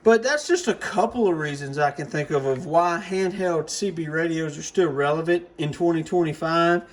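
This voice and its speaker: Male hobbyist presenter, notably high voice, plain-spoken and folksy, casual product-review delivery. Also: moderate pace. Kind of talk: casual product talk to camera.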